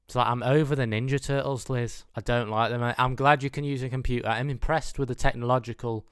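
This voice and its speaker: A man's voice: medium pitch, steady tone, quick pace, a bit bright, smooth, dry recording, studio quality. A man in his mid twenties with a British accent, giving a rambling, dryly mock-earnest comic monologue. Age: mid 20s. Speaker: comedian, host of own show. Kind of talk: comedic monologue.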